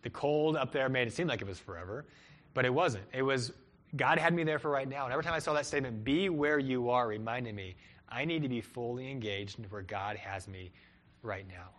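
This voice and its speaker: A man's voice: medium pitch, brisk, fairly bright tone. Earnest young male preacher. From Peru and Cleveland, Ohio. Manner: earnest, rises and falls for emphasis. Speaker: pastor. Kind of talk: sermon.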